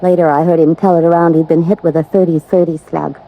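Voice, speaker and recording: A woman's voice: deep voice, flat delivery, fast talker. An actress playing an earnest, anxious young American woman, loyal and pleading, in a 1950s radio drama. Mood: troubled. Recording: muffled, hissy vintage radio recording.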